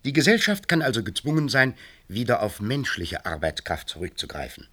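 Casting: self-assured dystopian official, radio play; formal, declamatory, sharp-toned, very animated intonation. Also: male voice, mid-range voice, fairly quick. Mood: officious, emphatic. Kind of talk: German radio play acting.

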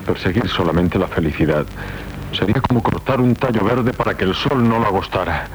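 An actor playing an honour-bound young knight and tormented lover, solemn and fervent in a 1970s Spanish radio drama. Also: male voice, lowish voice, animated, a little fast, lightly acted. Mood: proud resolve. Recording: noisy 1970s radio tape.

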